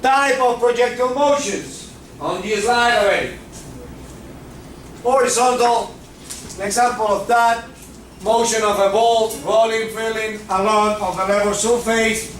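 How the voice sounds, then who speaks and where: Physics teacher, male, Cuban accent, high voice, heard from far off in an echoey classroom. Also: steady tone, measured pace, a bit bright, slight background noise. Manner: checks in with students often. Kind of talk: classroom lecture.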